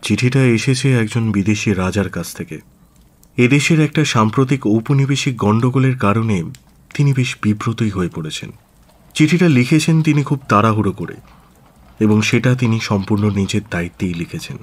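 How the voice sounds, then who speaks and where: An actor playing an aristocratic government minister in a radio drama, formal, earnest and deliberate, with hesitant pauses. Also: male voice, lowish voice, expressive. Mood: grave, worried. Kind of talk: Bengali radio drama acting.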